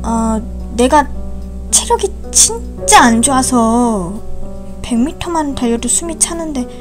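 A woman's voice: high-pitched, animated, slow, over music. A woman playing a whiny stammering young woman. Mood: defensive.

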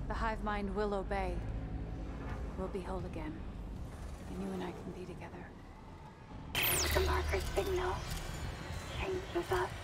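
An actress playing an eerily serene, devoted woman in a video game, speaking in slow, cryptic, portentous lines. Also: lively intonation, close to an everyday voice. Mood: serene, intent. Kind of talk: video game drama acting. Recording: music and ship rumble behind, partly distant. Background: music, engine rumble.

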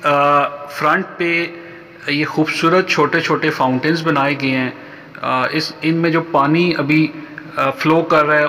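A man with a Pakistani accent giving an informal site tour as a vlog host, halting and politely formal with viewers. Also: fairly high pitch, bright, forceful tone, in a large hall. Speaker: real estate vlogger and host.

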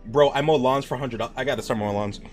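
A young man chats loosely on a live stream from a dim room, reacting to viewers with animated, swearing bursts. His voice sits in a middle pitch, and faint music plays underneath. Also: quick pace. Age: young adult. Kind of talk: casual live-stream chat reading viewer messages.

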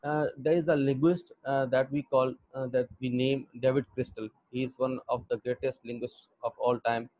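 A young Pakistani man with a Pakistani accent, lecturing an online English class in a halting way with many fillers. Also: medium pitch, little pitch movement, mellow, no room sound. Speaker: English teacher. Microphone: computer mic on a video call. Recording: dull lo-fi video call audio.

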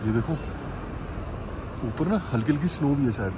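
A man's voice: mid-range voice, expressive, moderate pace, highs cut off. A man chatting casually and enthusiastically to viewers as a travel vlogger, mixing in English words. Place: outdoors on a mountain trip. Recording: outdoor noise with vehicle sounds.

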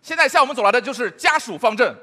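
A man's voice: extremely high pitch, animated, very fast, pushed and bright. A man performing manzai sketch comedy, delivering absurd lines straight-faced like a mock announcer. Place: large TV studio stage with live audience. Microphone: headset. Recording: very noisy stage with audience.

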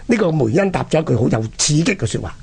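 A man with a Hong Kong Cantonese accent and a husky, gravelly voice, telling history conversationally and dramatically as a radio presenter. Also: a little high, animated, fast, darkish tone. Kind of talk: animated conversational history storytelling.

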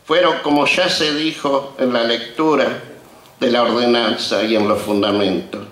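An Argentine man of sixty-five giving an emotional, halting acceptance speech at an outdoor ceremony, pausing often as he reminisces. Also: medium pitch, steady tone, a bit bright. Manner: halting, reminiscing, with deliberate pauses. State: emotional, trembling. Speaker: retired teacher and honoree giving a speech. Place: outdoor colonial courtyard before a seated audience. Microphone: podium microphones on stands. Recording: roomy, distant public-address sound.

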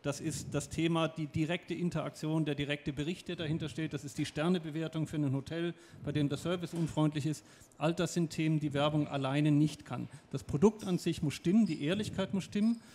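A man's voice: on the high side, fairly even intonation, brisk, a little mellow, a little hiss or hum. A middle-aged man speaking on a stage panel into a handheld microphone, explaining his views with confidence and personal anecdotes. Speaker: panelist, advertising expert. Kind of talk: panel discussion. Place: stage of a lecture hall.

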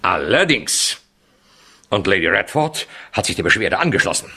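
An actor playing a stern, sardonic Scotland Yard chief inspector in a 1970s radio crime play, dry and authoritative. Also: male voice, sits low, very animated, moderate pace, sharp tone, breathy edge, heavily acted. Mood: stern, exasperated.

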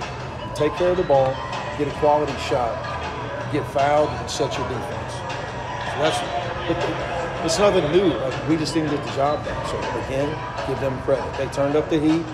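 A middle-aged male coach fielding reporters after a game, blunt and clipped, repeating short lines for emphasis. He speaks close to a bunch of microphones in a small indoor press area, with loud music playing in the background. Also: a little high. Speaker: head basketball coach. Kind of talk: postgame press conference. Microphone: cluster of microphones and phones close up.

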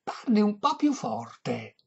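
A narrator voicing a deaf old noblewoman in a comic story, eager, loud and stubbornly oblivious. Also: mid-range voice, wildly swooping pitch, slow, vocal fry, husky, muffled recording, voice pushed up, exaggerated voice. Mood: puzzled. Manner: loud, insistent, uncomprehending.

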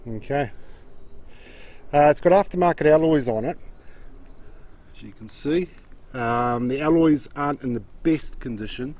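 A man's voice: a little high, measured pace, pauses often, darkish tone. A man giving an unscripted, matter-of-fact walk-around commentary outdoors, plain-spoken and often marking each point with an okay. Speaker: car auction agent presenting a walk-around. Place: outdoors in an open car yard.

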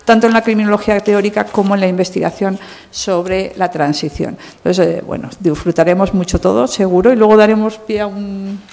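A woman's voice: mid-range voice, fairly quick, creaky, a little mellow. Woman from a Spanish seminar podium, reverberant hall. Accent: Spain. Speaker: seminar host introducing a speaker. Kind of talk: formal spoken introduction at an academic seminar. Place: university lecture hall, seated at a panel table. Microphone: desk gooseneck microphone. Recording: reverberant hall, long echo.

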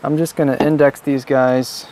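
A man narrates a hands-on DIY tutorial in a dark, relaxed voice. His delivery is plain-spoken and instructional, like a host guiding viewers through a build step by step. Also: mid-range voice, moderate pace, slight background noise. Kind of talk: scripted how-to narration.

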